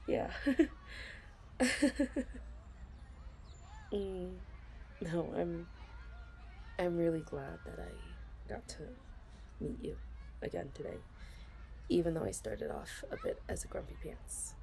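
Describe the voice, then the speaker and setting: A woman's voice: on the low side, animated, takes it slowly, creaky, long pauses, darkish tone, slight background noise. A woman doing an ASMR role-play as a shy, fond office worker, talking in a hesitant, giggly way that often stalls on her words.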